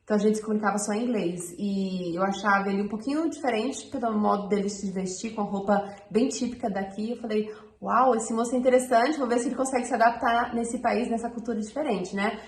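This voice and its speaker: A Brazilian woman, a missionary, tells her story in a chatty way, often pausing on small fillers. Her voice sits at an ordinary pitch for a woman. The room is echoey, with a faint hum underneath. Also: steady tone. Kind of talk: casual personal testimony. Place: home in Guatemala.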